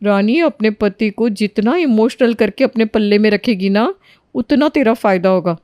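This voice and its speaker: A catty young woman friend acted out by a woman in a moral story, full of conniving persuasion. Her voice is medium-pitched and dry, with a judgmental edge. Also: lively intonation, normal speed, a little mellow, no room sound, close to an everyday voice.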